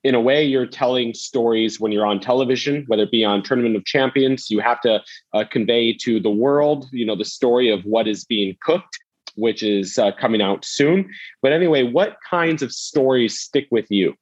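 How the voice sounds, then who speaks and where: A man's voice: medium pitch, normal speed. A man conversationally interviewing a guest as a podcast host. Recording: dull lo-fi audio.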